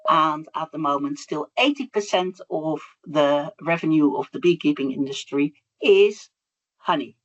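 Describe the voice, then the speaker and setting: A woman's voice: low voice, muffled recording. A woman from the Netherlands with a Dutch accent, a bee researcher presenting in a webinar, hesitant with many fillers. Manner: hesitant, many fillers, warm about her topic. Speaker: bee researcher, guest speaker.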